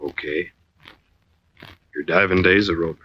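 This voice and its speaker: An actor playing a middle-aged Navy medic in an old-time radio drama, blunt but sympathetic, speaking gravely and in a measured way. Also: male voice, sits low, fairly even intonation. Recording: dull, dry old radio recording.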